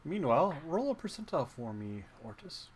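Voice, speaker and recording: A man narrating a tabletop game as game master in a casual, halting, conversational way. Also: a little high, sing-song. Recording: faint background noise.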